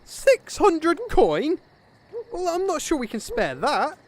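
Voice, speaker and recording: A man with a British accent playing a pompous, bumbling gentleman knight in a fantasy cartoon, polite and easily flustered. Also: very high voice, wildly swooping pitch, slow, heavily acted. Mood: exasperated.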